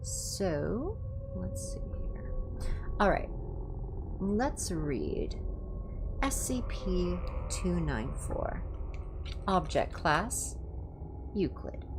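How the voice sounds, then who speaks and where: A woman reading aloud in an informal ASMR livestream style, in a measured, careful way. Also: on the low side, huge pitch swings, background noise. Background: music.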